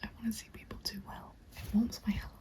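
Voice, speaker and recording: Softly whispering young woman, mellow. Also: fast talker. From England. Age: 20s. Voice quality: breathy whisper. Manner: gentle, chatty, reassuring. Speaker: ASMR creator playing a recruitment agent. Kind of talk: whispered ASMR roleplay. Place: small dim room at home. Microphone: close microphone. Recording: constant background noise with keyboard typing. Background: keyboard typing and clicks.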